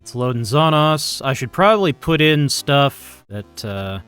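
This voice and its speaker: A man's voice: medium pitch, lively intonation, measured pace, a bit bright, clean tone. A man thinking aloud in casual, rambling, self-deprecating commentary as he live-streams his programming. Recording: music playing behind the voice.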